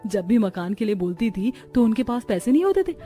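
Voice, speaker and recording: A woman's voice: on the high side, very animated, fairly quick, mellow, faint music behind. A woman playing a young, dramatic, exasperated new bride in a cartoon family story. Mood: pleased.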